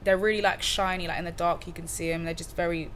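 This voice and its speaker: Young woman, lowish voice, chatty. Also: fast talker, a little hiss or hum. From Cardiff, Wales. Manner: relaxed, slangy, many fillers and restarts. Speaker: musician guest. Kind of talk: casual interview chat.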